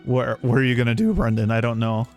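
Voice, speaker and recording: A man chatting casually as he streams a game, rambling and halting as he talks. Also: medium pitch, expressive. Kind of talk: casual livestream chat while playing a game. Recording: dry close mic, game music behind. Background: soft game music.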